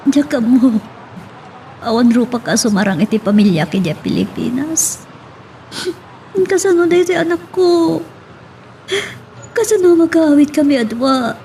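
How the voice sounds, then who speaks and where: A woman's voice: high voice, expressive, measured pace, pauses often, warm tone, a little hiss or hum, low-quality recording. A woman playing a plaintive, guilt-ridden pregnant migrant worker in an audio drama. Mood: distressed, fearful.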